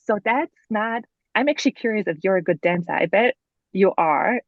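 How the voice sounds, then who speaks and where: A woman's voice: medium pitch, expressive, moderate pace, dry recording, narrow, tinny audio. A woman with a German accent, a guest author telling her story in a casual podcast interview, earnest and thoughtful with many fillers.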